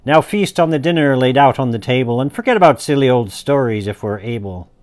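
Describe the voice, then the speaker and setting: A man's voice: mid-range voice, lively intonation, fairly quick, darkish tone, lightly acted. A man voicing a protective, commanding baron father in a rhyming storybook tale.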